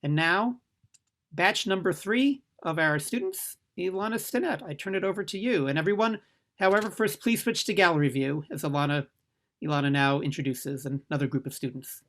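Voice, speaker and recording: A man hosting a formal induction ceremony over a video call, reading in a measured, solemn way. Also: on the high side, fairly bright tone. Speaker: ceremony host.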